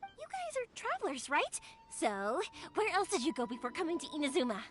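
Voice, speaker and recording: A woman voicing a cheerful, chatty, excitable young fireworks maker in an anime-style video game. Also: high voice, fairly quick. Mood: friendly, chatty. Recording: music behind the voice.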